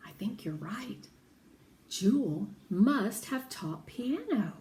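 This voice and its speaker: Woman reading as a soft, weary storybook mother, unhurried. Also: on the high side, sing-song. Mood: musing. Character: weary, gentle, thoughtful mother in a children's story. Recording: roomy echo, faint background noise.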